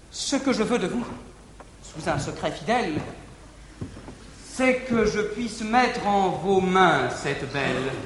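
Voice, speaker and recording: An actor playing an ardent young male lover, declaiming classical verse on stage in an excited, rapid storytelling manner. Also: very high-pitched, heavily acted. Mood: pleading. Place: large theatre stage. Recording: echoey old theatre recording with faint hiss.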